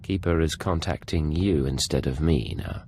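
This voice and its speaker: An actor playing a cool, controlled secret agent in a video game, speaking in a measured, suave way. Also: male voice, a bass voice, lively intonation, gravelly, a little mellow, a little hiss or hum, exaggerated voice. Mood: composed. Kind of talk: scripted video game acting.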